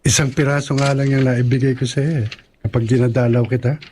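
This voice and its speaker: A man playing a sincere, poor young boyfriend in an audio drama. He talks meekly in a flat, mellow, mid-range voice, with faint noise underneath. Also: mid-range voice, fairly quick, close to an everyday voice. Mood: humble. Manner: meek, sincere. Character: humble poor young male suitor, sincere, self-deprecating. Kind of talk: radio drama acting.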